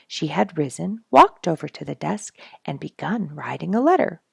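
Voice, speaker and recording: Gravelly, husky woman reading a story. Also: sits low, very animated, normal speed, no echo, studio quality. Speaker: audiobook reader and show host. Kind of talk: expressive storybook narration.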